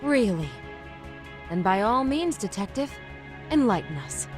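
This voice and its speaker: A woman's voice: medium pitch, sing-song, a little slow. Earnest, strong-willed woman teacher. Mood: skeptical, sharp. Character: earnest, strong-willed female homeroom teacher, skeptical and caring. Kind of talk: dramatic video game dialogue. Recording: music behind the voice.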